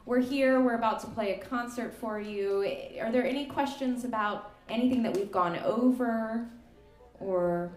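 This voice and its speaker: A woman's voice: fairly high pitch, moderate pace, a little mellow. A young woman leading a music workshop on a stage mic, chatty and upbeat, counting the band in. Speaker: workshop leader, klezmer musician. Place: community center hall. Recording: roomy hall.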